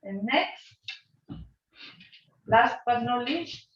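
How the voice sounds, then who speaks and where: A woman with a bright voice hosts informal introductions on a group call. She jokes at her own expense. The sound is distant and echoing, with dulled detail. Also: a little high, expressive, drawn-out words, a little hiss or hum. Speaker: host of an online student exchange session. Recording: roomy, distant, dull video-call audio.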